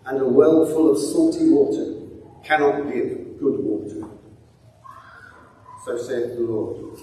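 An older man reads scripture in a slow, measured voice with a mellow, slightly raised tone. He sounds far from the mic, and a long church echo carries every word. Faint room hum sits underneath. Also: mid-range voice. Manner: measured, deliberate reading. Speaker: scripture reader. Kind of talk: slow Bible reading. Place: large church, at a lectern. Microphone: stand mic, filmed by a far camera.

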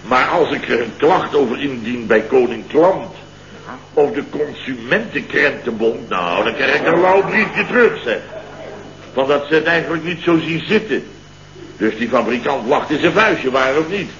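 Blustering boss, echoey old recording. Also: male voice, mid-range voice, animated, moderate pace, fairly bright tone. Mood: rambling, grumbling. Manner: rambling, stammering, self-important, repeats himself. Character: blustering, boastful fifty-year-old male boss. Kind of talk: 1970s Dutch comedy radio play acting. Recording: echoey, muffled, noisy vintage radio recording.

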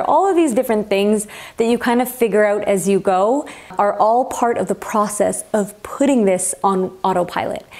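A young woman talks straight to camera from her home kitchen, chatty and upbeat, her hands moving as she shows off groceries. Her voice sits at an easy middle pitch and comes through close and clean on a clip-on mic. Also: darkish tone. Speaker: healthy eating vlogger. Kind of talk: casual talk to camera. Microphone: clip-on lapel mic.

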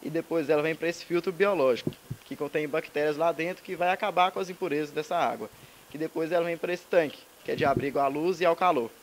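A man's voice: fairly high pitch, fast talker, slight background noise, poor audio. A teenage boy giving a technical explanation of a model house into a handheld mic. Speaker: student presenter.

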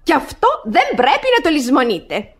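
A woman's voice: high voice, wildly swooping pitch, breathy edge, heavily acted. An actress playing a haughty older aristocratic mother-in-law in a radio comedy, pompous, affected and scolding. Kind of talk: radio theatre comedy acting.

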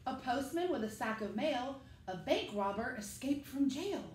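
A middle-aged woman, a school teacher, reading a picture book aloud to children and speaking to them directly in an animated way. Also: high voice, moderate pace. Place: living room at home, indoors. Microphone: camera a few metres away. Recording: echoey room with faint noise.

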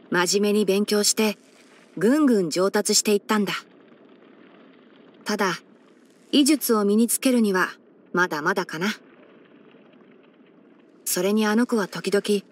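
A woman's voice: mid-range voice, natural voice. A woman voicing a young male fox-eared ranger in a video game, scholarly and sensible, speaking in a composed, articulate, mildly wry way. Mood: caring. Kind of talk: scripted video game character voice-over.

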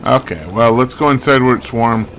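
A man's voice: medium pitch, normal speed, fairly bright tone, a little hiss or hum. A man giving casual, rambling video game commentary in a wry, musing way, thinking aloud. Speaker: game streamer.

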